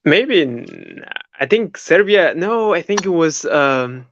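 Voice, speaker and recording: A man's voice: on the high side, animated, slow, fairly bright tone, muffled recording, slight background noise. A young man from Belgium chatting as a podcast guest, hesitant, with many fillers and slightly non-native phrasing. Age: young adult. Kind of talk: casual chat.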